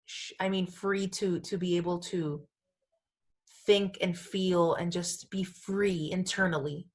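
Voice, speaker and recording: A woman's voice: fairly low pitch, fairly even intonation, slow pace. A woman co-hosting a casual podcast, chatting in an emphatic way and often repeating her phrases. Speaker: podcast co-host.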